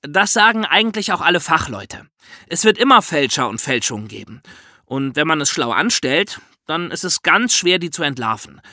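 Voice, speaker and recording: A woman with a medium-pitched, bright and crisp-edged voice chats as a podcast co-host. Her intonation moves around a lot, in a casual discussion recorded very dry. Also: sing-song, moderate pace, no echo.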